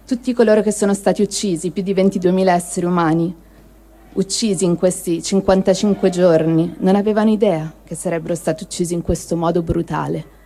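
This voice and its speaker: A woman giving a measured, solemn dramatic reading of a written diary, with pauses between phrases. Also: on the low side, dark tone. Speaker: reader of written testimonies.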